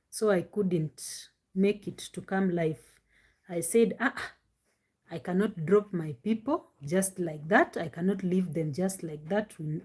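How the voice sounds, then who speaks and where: A woman with a deep voice, talking loudly and with strain as she chats casually with viewers while hosting a live-stream reaction show on her device's built-in microphone. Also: breathy edge. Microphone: no external microphone, device mic.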